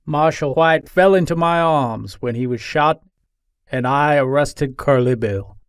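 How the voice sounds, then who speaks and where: A man playing a soft-spoken, modest but determined Old West gunfighter in a dramatic reading of an old interview. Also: a little high, measured pace, clear, resonant tone, no room sound, clean studio sound. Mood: grave, measured.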